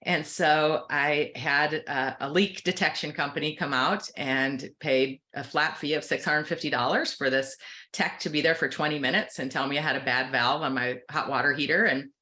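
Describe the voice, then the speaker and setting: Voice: medium pitch, fairly even intonation, normal speed, pushed and bright. An optometrist with an American accent who owns a practice, explaining things earnestly in a conversational interview. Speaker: optometrist and practice owner, podcast guest.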